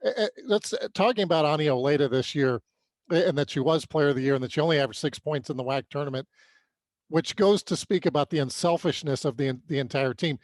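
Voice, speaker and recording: A man's voice: on the high side, fast, no room sound. A man co-hosting a sports podcast with conversational commentary, stammering a little over his words.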